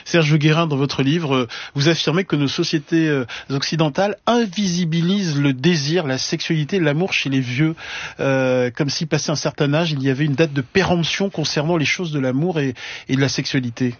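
A man hosting a radio panel discussion, putting measured questions with frequent hesitant fillers. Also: on the high side, husky, dry recording, highs cut off. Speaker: radio host.

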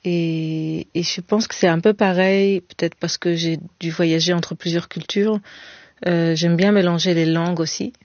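A woman's voice: fairly low pitch, a little mellow, dry recording. Thoughtful woman. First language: Hebrew. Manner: thoughtful, halting, often restarts phrases. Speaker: musician guest. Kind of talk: interview answers. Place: radio studio. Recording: dry, slightly dull studio sound.

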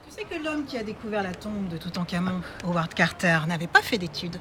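An actress playing a brisk, busy Egyptian archaeologist and mother in an audio drama. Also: lowish voice, animated, background noise, lightly acted.

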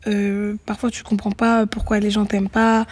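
Young woman guest, husky voice, reflective and hesitant, at a studio mic. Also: mid-range voice, flat delivery, normal speed, darkish tone. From Greece. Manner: thoughtful, many hesitations and restarts. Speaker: guest musician. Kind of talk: reflective radio interview answers.

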